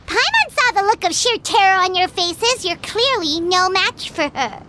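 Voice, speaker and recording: A woman voicing a tiny, feisty, childlike fairy companion in a video game, squeaky and emphatic. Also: sing-song, moderate pace, heavy background noise. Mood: smug, relieved. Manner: emphatic, refers to self in third person. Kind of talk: animated video game voice acting.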